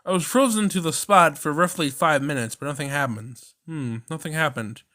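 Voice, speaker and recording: A man's voice: a little high, moderate pace, sharp tone. A man doing a visual novel let's play, reading narration aloud and reacting in slangy, exclamatory casual speech. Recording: prominent background music. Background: music.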